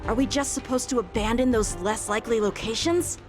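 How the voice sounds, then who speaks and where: A woman's voice: on the high side, brisk, natural voice. A woman voicing an earnest, dutiful young lieutenant who speaks formally and eagerly. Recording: studio game dub over loud music.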